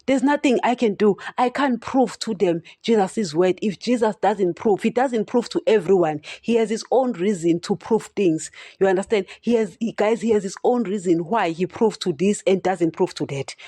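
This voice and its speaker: A woman preaches straight to her podcast listeners, stuttering at times and asking again and again whether they understand. Her voice is mellow and mid-pitched, and the recording sounds dry and close. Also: no room sound. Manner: direct, repeats "you understand?", addresses listeners as guys. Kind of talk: solo preaching podcast talk.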